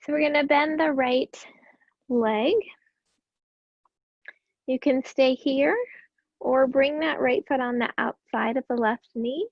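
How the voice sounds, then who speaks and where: Raspy-voiced woman calmly guiding yoga. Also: sits high, dry recording, lo-fi sound. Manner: calm, gentle cueing with breath. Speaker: yoga teacher. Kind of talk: guided yoga class instruction.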